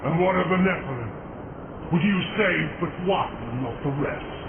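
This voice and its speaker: A man's voice: a little high, animated, measured pace, noisy background. A man playing a bitter, vengeful fallen warrior turned spirit of corruption in a video game, speaking in a menacing, theatrical, taunting way. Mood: sinister taunting. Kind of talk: video game cutscene acting. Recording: dull game audio, loud background ambience.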